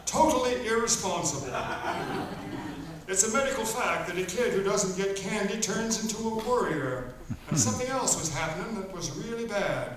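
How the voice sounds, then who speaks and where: A man's voice: high-pitched, lively intonation, normal speed. An actor playing a folksy children's author who narrates his story to kids on stage with playful, mock-serious asides. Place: live stage performance in a hall. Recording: echoey hall from far off, faint noise.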